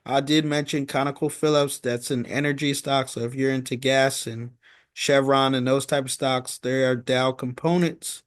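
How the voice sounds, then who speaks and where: A man hosting an informal stock market recap, talking in a halting, repetitive way and often checking in with "okay?". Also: mid-range voice, level tone, no echo, clean studio sound.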